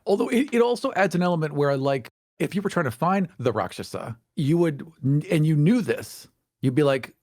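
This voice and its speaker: A man's voice: fairly high pitch, expressive, moderate pace, a little mellow, no room sound, clean studio sound. A man in a casual podcast chat, joking and giggling often.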